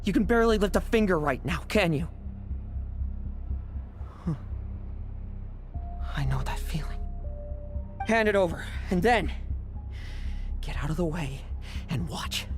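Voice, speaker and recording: A man's voice: sits high, animated, a little slow, pauses often. A man voicing a cocky, reckless young knight in an anime video game, with a taunting, theatrical, sardonic manner. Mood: mocking. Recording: music behind the voice.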